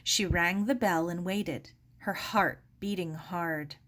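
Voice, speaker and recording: A woman reading a children's novel aloud as narrator, in a measured, expressive storytelling manner. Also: on the low side. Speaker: story reader.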